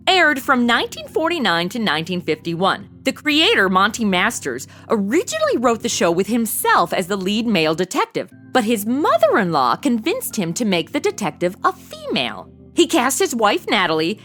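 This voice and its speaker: A woman's voice: mid-range voice, a bit bright. A woman reading scripted, informative narration as the narrator of an audio show.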